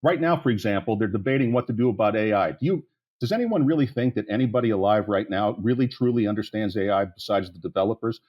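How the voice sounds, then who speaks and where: A man's voice: fairly low pitch, animated, fast talker, lo-fi sound. A man in his sixties from the Pittsburgh area, speaking as a guest on a podcast interview in a blunt, candid way that rambles with many restarts. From United States, Pittsburgh area. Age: 60s.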